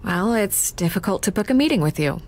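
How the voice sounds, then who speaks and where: An actress playing a persistent, smooth-talking reporter in a video game, composed and persuasive. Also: female voice, mid-range voice, lively intonation, fairly quick, a little mellow, natural voice. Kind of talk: dramatic game dialogue.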